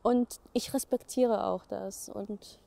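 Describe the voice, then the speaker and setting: Casting: young Egyptian-born student, Arabic native speaker, mellow earnest talk with fillers. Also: female voice, mid-range voice, fairly even intonation, slow pace, gravelly. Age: young adult. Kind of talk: interview monologue.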